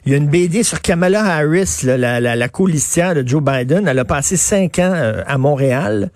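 A man with a Québécois accent hosting a radio talk show, talking in a conversational, halting way. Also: fairly high pitch, lively intonation, no room sound, clean studio sound. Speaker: radio host.

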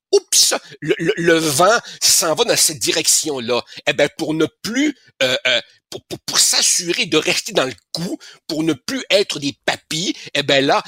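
A man's voice: on the high side, pushed and bright, slightly rough, no room sound, clean studio sound. A man in his sixties with a Québécois accent, giving opinionated radio commentary, emphatic and full of stammered repetitions. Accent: Québécois French. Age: 60s. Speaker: columnist.

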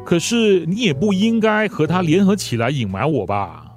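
A man playing a measured, authoritative older patriarch questioning people in a radio drama. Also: fairly high pitch, lively intonation, natural voice. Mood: stern, suspicious. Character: stern, probing elderly wealthy patriarch and employer. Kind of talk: Mandarin radio drama acting. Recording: plucked guitar music behind.

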